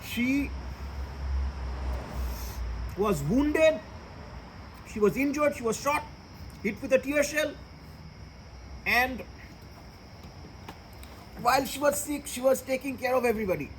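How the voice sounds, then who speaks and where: Bangladeshi man, very high-pitched, joking and profane, casual comedy chat, faint hiss. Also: takes it slowly, pauses often, fairly bright tone, rough recording. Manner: profane, joking, switches between English and Bengali. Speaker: comedian host.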